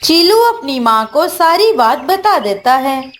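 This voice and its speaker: Slow, high-voiced female storyteller. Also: sing-song, fairly bright tone, faint music behind. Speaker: narrator. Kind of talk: scripted children's story narration.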